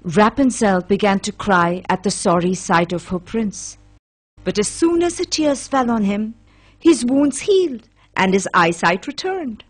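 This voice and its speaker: A woman's voice: medium pitch, expressive, measured pace. A woman narrating a children's fairy tale as a scripted storyteller.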